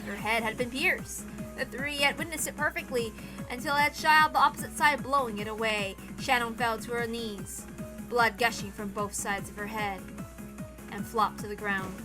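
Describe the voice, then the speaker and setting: A woman narrating a visual novel as a dramatic scripted reading. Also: a little high.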